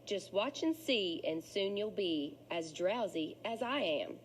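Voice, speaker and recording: A woman's voice: mid-range voice, lively intonation, slow pace, slight background noise, low-quality recording. A woman voicing a sleepy, helpful female clam who soothingly gives advice in a children's storybook. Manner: soft, soothing instruction.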